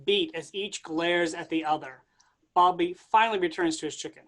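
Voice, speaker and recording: A man reading stage directions aloud in a staged play reading, steady and plain. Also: on the high side, moderate pace.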